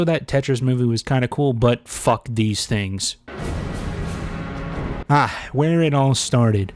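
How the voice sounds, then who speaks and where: A man's voice: mid-range voice, a little mellow, no echo. A man giving casual, opinionated video commentary in a blunt, sarcastic, sweary manner.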